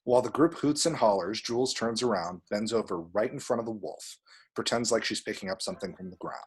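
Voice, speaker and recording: A man reading screen directions aloud as expressive scripted narration. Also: lowish voice, a little fast, slightly rough, rough recording. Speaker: reader of action descriptions.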